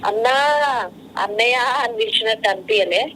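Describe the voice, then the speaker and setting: A woman's voice: fairly high pitch, fairly even intonation, fairly quick, a bit bright, slight background noise. A woman with a Telangana Telugu accent chatting casually on a phone call. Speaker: guest's wife on a phone call. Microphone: phone call played in the room.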